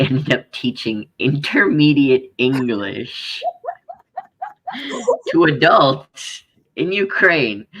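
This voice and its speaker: A man hosting a podcast, asking slow, drawn-out questions. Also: on the high side, a bit bright, low-quality recording. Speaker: podcast host. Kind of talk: interview questions.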